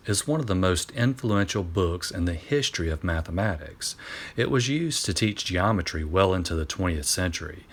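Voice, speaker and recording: Expressive man reading aloud, very animated. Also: low-pitched. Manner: expressive, emphatic. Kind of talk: scripted storybook narration for students.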